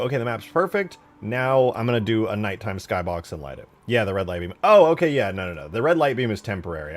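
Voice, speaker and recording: A man chatting casually on a livestream as he shows game mod work, informal and slangy, easily excited. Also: low-pitched. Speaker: live streamer and game modder.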